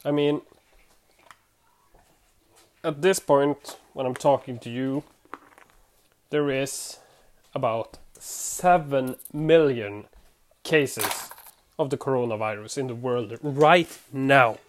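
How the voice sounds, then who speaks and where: A podcast host from Sweden, a native Swedish speaker with a Swedish accent, talking casually in English and often pausing to search for words. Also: medium pitch.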